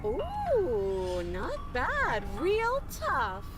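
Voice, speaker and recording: A woman's voice: very high-pitched, wildly swooping pitch, extremely slow, exaggerated voice. A woman voicing a playful, deadly princess in a visual novel, teasing and taunting without hurry. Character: playful, deadly princess who toys with her opponent. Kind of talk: dramatic visual novel voice acting. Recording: music clearly behind the voice.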